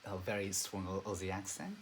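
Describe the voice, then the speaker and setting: A man's voice: deep voice, flat delivery, fairly quick, slight background noise. A man, an English learner chatting hesitantly on a video call with many filler words. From Japan. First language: Japanese. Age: 30s. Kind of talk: casual online lesson chat.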